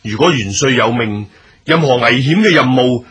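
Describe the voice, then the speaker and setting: A man's voice: medium pitch, lively intonation, normal speed, fairly bright tone, close to an everyday voice. A male actor playing a loyal, brave junior general in a period radio drama, earnest and deferential in Hong Kong Cantonese. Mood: resolute, devoted. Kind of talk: theatrical radio drama acting.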